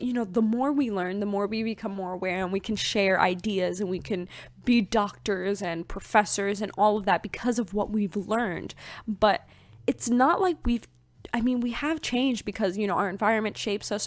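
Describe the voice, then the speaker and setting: A woman's voice: medium pitch, normal speed, no echo. Chatty young woman, dry close sound. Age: 20s. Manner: chatty, rambling, many fillers. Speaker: podcast host. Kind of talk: casual spiritual talk.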